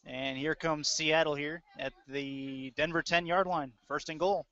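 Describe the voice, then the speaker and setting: A man with a slightly high voice works as a football play-by-play announcer. He describes the action in short, clipped phrases. The sound is dry and somewhat dull, like a lo-fi webcast. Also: steady tone, unhurried, bright, forceful tone, no room sound. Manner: clipped running description of plays. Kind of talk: live American football commentary.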